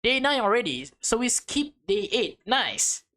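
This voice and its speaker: A woman's voice: a little high, sing-song, unhurried, fairly bright tone. A woman reading a visual novel aloud for a let's play, commenting casually and addressing viewers informally as guys and man. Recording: music playing behind the voice. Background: music.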